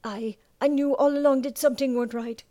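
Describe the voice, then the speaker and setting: A woman's voice: high-pitched, steady tone, fairly quick, mellow, dry recording, voice pushed up, lightly acted. A woman doing a stammering, defensive sailor. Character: nervous, boastful young male sailor.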